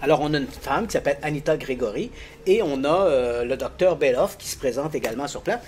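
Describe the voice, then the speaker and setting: A man explaining a paranormal case in detail as a guest, lively and quick to laugh. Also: medium pitch, slight background noise. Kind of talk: casual explanatory storytelling.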